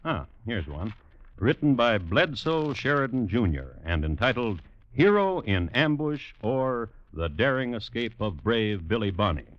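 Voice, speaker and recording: Low-voiced male radio narrator, dryly ironic. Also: sing-song, unhurried, no room sound. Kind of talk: wry scripted radio narration. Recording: muffled old radio recording.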